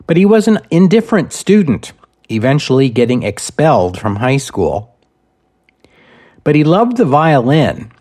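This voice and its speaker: A man hosting a history podcast, reading scripted narration in a measured, deliberate way with wry asides. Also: mid-range voice, a little mellow. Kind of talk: scripted narration, storytelling lecture.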